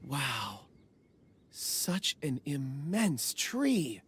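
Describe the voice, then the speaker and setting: A man's voice: mid-range voice, big pitch swings, very slow, a bit bright, husky, exaggerated voice. A man voicing a polite, thoughtful young man in a video game, mild and a little hesitant in delivery. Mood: hesitant, wondering. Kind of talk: scripted video game voice acting.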